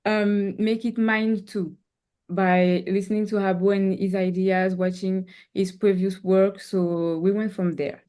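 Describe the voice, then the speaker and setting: A young woman, a Creole speaker, talking as a cinematographer guest in a casual interview. She speaks slowly and haltingly, pausing often to search for her words in English. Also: mid-range voice, little pitch movement, mellow, clear, resonant tone.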